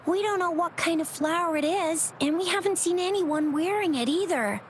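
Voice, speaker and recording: A woman playing a bubbly, excitable girl in a video game, gushing and informal. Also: extremely high pitch, normal speed, slight background noise. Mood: puzzled, animated. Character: bubbly, excitable teenage girl, openly impressed.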